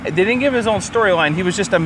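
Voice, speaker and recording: A man's voice: animated, very fast. A man co-hosting a podcast, casually reviewing a movie in a crude, joking, profane way. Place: outdoors or in a car near a highway. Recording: outdoor traffic noise from nearby highway. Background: highway traffic.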